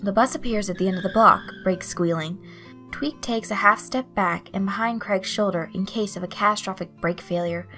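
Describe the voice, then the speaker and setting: A woman reading fan fiction aloud in an expressive storytelling style. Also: medium pitch, moderate pace. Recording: soft music behind the voice. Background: guitar loop, night insect sounds.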